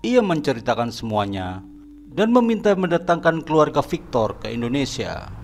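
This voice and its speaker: A man's voice: medium pitch, big pitch swings, normal speed, slight background noise. A man narrating a horror cartoon in a scripted, dramatic storytelling manner. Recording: narration over background music.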